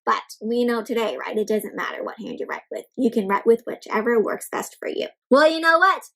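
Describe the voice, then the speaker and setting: A woman reading a picture book aloud to children, interactive and expressive, stressing words and turning to young listeners with simple questions and explanations. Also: a little high, a little fast, gravelly. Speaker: children's read-aloud host.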